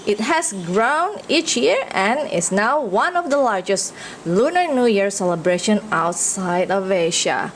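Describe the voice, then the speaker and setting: A woman from Indonesia reading a picture book aloud for children, expressive and inviting listeners with questions. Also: medium pitch, unhurried, slight background noise. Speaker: read-aloud storyteller.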